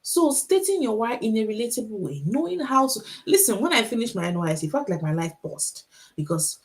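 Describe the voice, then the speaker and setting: A woman's voice: a little high, sing-song. A middle-aged woman with a Nigerian accent, giving an encouraging motivational talk on a livestream, repeating her key points and asking the audience rhetorical questions. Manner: speaks deliberately so listeners can follow. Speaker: author and motivational speaker.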